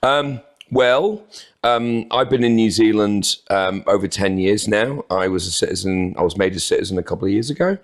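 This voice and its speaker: A man's voice: on the low side, normal speed. A man in his forties from North Wales, talking unhurriedly and a little self-deprecatingly as a podcast guest. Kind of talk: casual interview chat.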